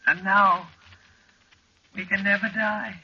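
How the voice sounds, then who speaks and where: A woman's voice: medium pitch, steady tone, slow pace, pushed and bright, slightly rough, exaggerated voice. An actress playing a doomed, anguished woman who cannot die, with dramatic intensity in a 1940s radio horror drama. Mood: haunted despair. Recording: dull, noisy vintage radio recording.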